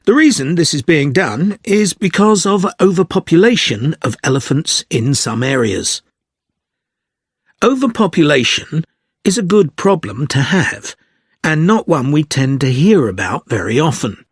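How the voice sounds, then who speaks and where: Male student presenter, scripted, measured, explanatory, animated intonation, dry close sound. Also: on the high side, husky, no echo, full character voice. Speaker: actor in an exam listening recording.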